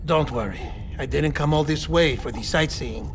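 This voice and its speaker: A man's voice: on the high side, normal speed, background noise, lightly acted. An actor playing a fussy, pragmatic male scientist in a video game cutscene, articulate and emphatic in his explanations. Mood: explanatory. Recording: music behind the voice.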